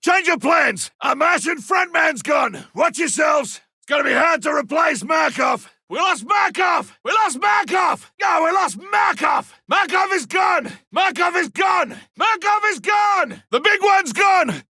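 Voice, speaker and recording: A man voicing a gruff, hearty older Australian big-game hunter in a video game, gravelly, barking short battlefield lines. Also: very high voice, lively intonation, unhurried, hardly any pauses, sharp tone, no echo, shouting, studio quality, full character voice. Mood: loud, rueful.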